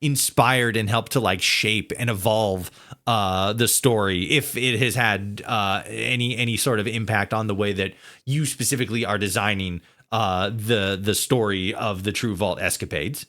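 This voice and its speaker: A man hosting a casual interview podcast, building long questions haltingly and repeating words as he goes. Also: fairly low pitch, fairly even intonation, fairly bright tone.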